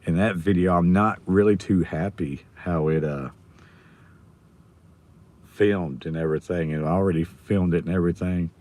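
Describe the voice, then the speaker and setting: A middle-aged man with a hoarse, raspy voice, casually chatting with viewers on his live stream. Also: low-pitched, steady tone, normal speed, a little mellow. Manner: rambling, chatting with viewers by name.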